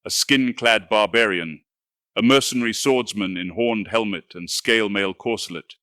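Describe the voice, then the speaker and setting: Male audiobook narrator, lowish and forceful, theatrical, measured delivery, dry recording. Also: no room sound. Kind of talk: dramatic scripted audiobook narration.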